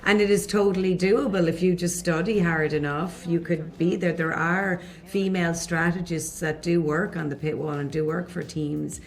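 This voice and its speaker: An actress speaking in a thoughtful, unhurried way in a press interview. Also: female voice, on the low side, fairly even intonation.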